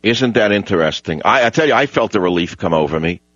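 A man's voice: fairly low pitch, lively intonation, quick pace, a bit bright, dry recording. An older man hosting a talk radio show, emphatic and opinionated, often restarting his sentences. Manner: emphatic, repeats himself, many restarts. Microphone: studio microphone. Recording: dull, dry studio broadcast sound.

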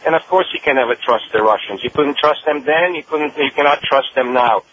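A man's voice: mid-range voice, muffled recording. A man from Romania calling into a talk radio show, speaking emphatically and indignantly, with frequent hesitations. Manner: emphatic, indignant, halting with fillers. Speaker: caller. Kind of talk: call-in radio.

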